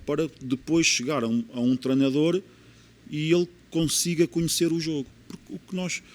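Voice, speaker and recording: Portuguese coach explaining tactics earnestly. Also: male voice, fairly high pitch, fairly even intonation, normal speed. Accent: European Portuguese. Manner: earnest, explanatory, repeats key phrases for emphasis. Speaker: football coach. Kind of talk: podcast interview.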